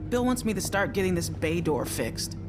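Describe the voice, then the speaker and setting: An actress playing a blunt, easygoing guard in a video game, speaking matter-of-factly. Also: female voice, on the low side, big pitch swings, normal speed, music in the background. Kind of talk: naturalistic video game acting.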